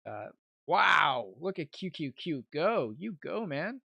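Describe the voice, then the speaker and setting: A man's voice: a little high, very animated, slow pace, vocal fry, bright, forceful tone, breathy edge, no echo. A young man giving casual, informal live trading commentary as a livestream host, thinking aloud as he goes. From Canada. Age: young adult. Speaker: livestream host, amateur day trader.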